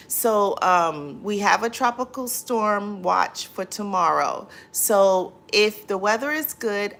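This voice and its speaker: Lady on a livestream reading comments, low gravelly voice, lots of ums, faint hum behind. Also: a bit bright. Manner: chatty, many fillers, reads comments aloud and answers them. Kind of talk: casual live chat.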